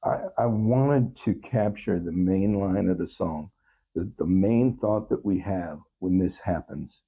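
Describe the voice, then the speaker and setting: Gravelly, dark-voiced man in earnest conversation. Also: deep voice, unhurried. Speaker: interview guest, songwriter. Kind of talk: reflective interview talk about own songwriting.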